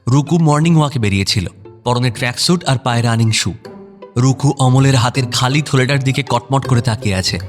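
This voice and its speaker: A man's voice: mid-range voice, brisk, soft background music. Man narrating a story in long reverb. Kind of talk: dramatic scripted narration.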